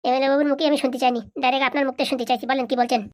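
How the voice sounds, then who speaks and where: Lady doing a grumpy cartoon fixer for hire, curt and proud, talks very fast. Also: sits high, flat delivery, a little mellow, natural voice. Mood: surly. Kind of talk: comic cartoon dialogue.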